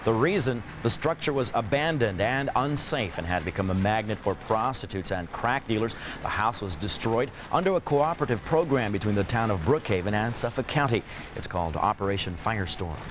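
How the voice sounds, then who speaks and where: A man's voice: lowish voice, lively intonation, fairly quick. A man narrating a scripted television news story as a reporter. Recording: clearly noisy broadcast tape.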